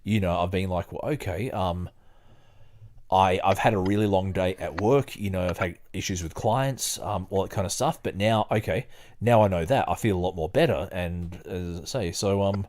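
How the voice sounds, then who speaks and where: A man with a fairly deep voice hosts a podcast. He talks casually in a hesitant, wandering way, and also reads passages aloud. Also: darkish tone. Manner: hesitant, halting, digressive when talking. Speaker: podcast host. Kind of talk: casual chat and reading aloud.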